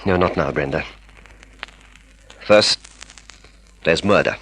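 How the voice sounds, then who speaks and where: A man's voice: extremely low pitch, takes it slowly, a bit bright, breathy edge, heavily acted, poor audio. An Australian actor playing a cold, controlled young man plotting murder with his lover, in a mid-century radio drama style. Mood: grimly intent. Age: young adult. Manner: controlled, clipped, commanding.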